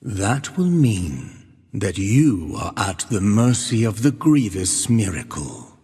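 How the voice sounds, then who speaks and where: An actor playing a solemn, devout penitent who narrates and bears witness in archaic, measured speech in a video game. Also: male voice, on the low side, sing-song, mellow, breathy edge, heavily acted. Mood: grave, foreboding. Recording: long cavernous reverb.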